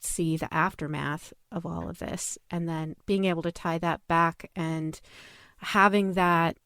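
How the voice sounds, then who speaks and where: A woman's voice: on the low side, steady tone, unhurried, a bit bright, clear, resonant tone, no room sound, clean studio sound. A woman co-hosting a podcast in casual, analytical discussion. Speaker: podcast co-host.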